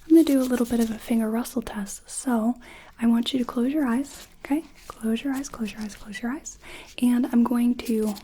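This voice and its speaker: A woman whispering softly and playfully through an ASMR doctor's exam roleplay, drifting close to and away from the mic. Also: on the high side, brisk, slight background noise.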